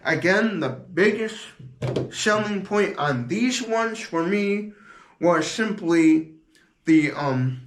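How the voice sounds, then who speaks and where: A man's voice: high voice, lively intonation, slow, slight background noise. A man giving a casual, unscripted product review in a rambling, repetitive, plain-spoken way. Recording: echoey room sound.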